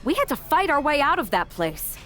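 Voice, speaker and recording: A woman's voice: high-pitched, big pitch swings, brisk, fairly bright tone, soft background music. A woman playing a sceptical, protective female wrestler in a video game cutscene, speaking with wry candour. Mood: warning.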